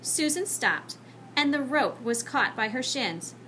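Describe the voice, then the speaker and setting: Woman reading a kids book out loud, lively and expressive, bright voice, little bit of background noise. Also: high-pitched, unhurried. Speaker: storybook reader. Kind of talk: expressive children's book narration.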